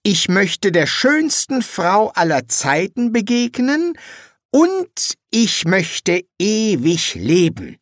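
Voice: mid-range voice, very animated, slow pace, no room sound, clean studio sound, pitched above the performer's own voice. A narrator voicing an eager, gullible young male demonologist who makes demands and then pleads wistfully. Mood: demanding. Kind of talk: audiobook reading.

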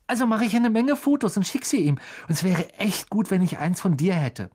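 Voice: mid-range voice, expressive, normal speed, darkish tone, slightly rough, pitched above the performer's own voice. A reader voicing an eager, starstruck young schoolboy in a storybook, gushing and begging. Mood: eager, pleading.

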